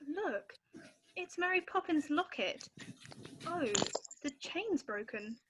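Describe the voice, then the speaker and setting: A woman's voice: extremely high pitch, lively intonation, slow pace, noisy background, exaggerated voice. A woman playing an earnest, wondering young girl in an amateur script read-through. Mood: curious.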